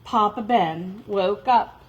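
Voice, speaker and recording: A woman reading a children's story aloud in a dramatic, expressive way, drawing out words for emphasis. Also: mid-range voice, slow pace, muffled recording.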